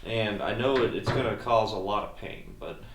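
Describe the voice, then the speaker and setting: A man's voice: lowish voice, moderate pace, background noise. A man hosting a casual DIY car repair vlog, talking folksily as he works, with tongue-in-cheek, mock-serious asides. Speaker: host of a car repair video channel. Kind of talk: casual DIY vlog narration while working. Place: garage workshop.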